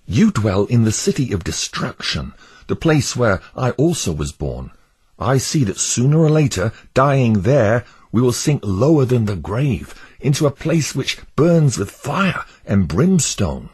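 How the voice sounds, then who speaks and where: A man voicing an earnest devout male pilgrim who pleads fervently with his neighbours, in a husky voice. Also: mid-range voice, sing-song, measured pace, darkish tone, pitched above the performer's own voice, full character voice. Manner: fervent, imploring.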